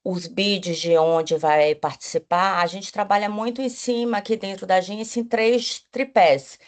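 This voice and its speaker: A woman's voice: mid-range voice, fairly even intonation, measured pace. A woman from Bahia, speaking as an agency executive on a panel, enthusiastic, with frequent restarts and tag questions. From Bahia, Brazil. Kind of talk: panel talk.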